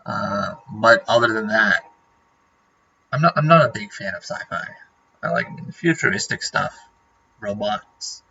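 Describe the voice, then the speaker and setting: A man's voice: on the low side, measured pace, creaky, pauses often, pushed and bright, breathy edge, highs cut off. A man from Norway, a native Norwegian speaker, chatting casually on a livestream and rambling with lots of fillers and restarts. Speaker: streamer.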